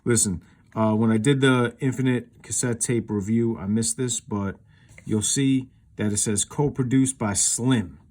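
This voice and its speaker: A man's voice: medium pitch, fairly even intonation, measured pace, a little mellow. A man giving a casual, unscripted comparison as a collector hosting his own video, explaining things in an informal, rambling way. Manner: informal, rambling, explanatory, peppered with you knows.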